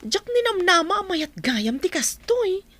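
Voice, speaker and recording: A woman's voice: very high voice, big pitch swings, measured pace, fairly bright tone, no echo, heavily acted. A woman playing an agreeable, reassuring young working woman in an audio drama, with an Ilocano Filipino accent. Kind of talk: amateur audio drama acting.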